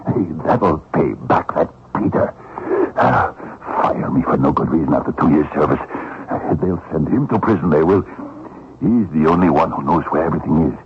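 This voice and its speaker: A man playing a scheming, bitter Russian vagrant thief in a radio drama, gravelly and husky, bullying, taunting and wheedling. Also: very deep voice, moderate pace, heavily acted. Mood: gloating, eager. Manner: taunting, wheedling, muttered asides and scoffing. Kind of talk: old-time radio drama acting. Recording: dull, lo-fi radio drama recording.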